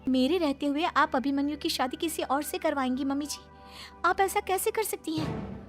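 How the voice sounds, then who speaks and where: Woman as a very high-voiced wronged young wife. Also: normal speed, faint music behind, natural voice. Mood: shocked, protesting. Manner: earnest, pleading, protesting. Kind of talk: Hindi cartoon story voice acting.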